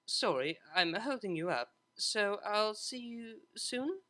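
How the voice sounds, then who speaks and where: Narrator doing refined young pony girl, high swoopy voice, super eager and gushy, storybook style. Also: lively intonation, takes it slowly, bright, forceful tone. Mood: excited. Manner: gushing, eager. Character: refined, enthusiastic young pony mare cellist.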